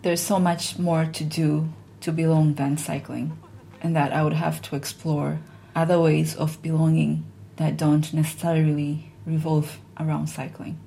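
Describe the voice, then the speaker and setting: A woman, telling a personal story in an earnest, measured way. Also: sits low, little pitch movement, gravelly, dark tone. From Tanzania.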